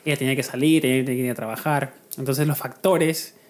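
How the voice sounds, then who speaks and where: A man's voice: mid-range voice, quick pace. A man hosting a podcast, chatting in an easy, reflective way about his life. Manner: relaxed storytelling, reflective. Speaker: podcast host. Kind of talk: casual chat.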